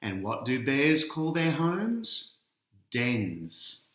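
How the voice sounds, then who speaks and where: A man's voice: medium pitch, sing-song, drawn-out words, fairly bright tone. A man reading a picture book aloud to children as its author, putting questions to his young listeners and teasing out each reveal. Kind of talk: children's storytelling read-aloud. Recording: roomy, echoing sound.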